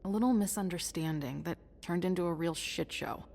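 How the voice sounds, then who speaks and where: A woman's voice: lowish voice, fairly quick, gravelly, darkish tone, a little hiss or hum. A woman playing a cool, streetwise young woman with a wry, guarded, sarcastic manner in a noir game. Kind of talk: cinematic noir game acting. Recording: faint music behind the dialogue.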